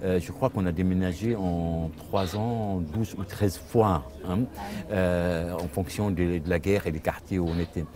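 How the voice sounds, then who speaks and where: A man's voice: low voice, steady tone, unhurried, crowd noise. An older man from Lebanon, a storyteller whose first language is Arabic, answering interview questions thoughtfully and repeating words as he searches for them. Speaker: storyteller, father. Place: outdoor festival.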